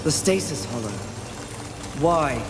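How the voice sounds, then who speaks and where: Defiant, sardonic warrior, unhurried. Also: female voice, low-pitched, expressive, noisy background. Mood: suspicious, sardonic. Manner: dry, cocky, challenging. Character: defiant, sardonic female apocalyptic horseman warrior. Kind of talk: fantasy video game acting. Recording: echoing hall with music.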